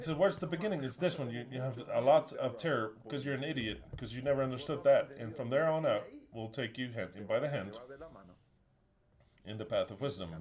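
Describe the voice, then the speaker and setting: A man with an Argentinian accent preaching a sermon, drilling his listeners to repeat phrases after him and swinging between loud stresses and quiet asides. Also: mid-range voice.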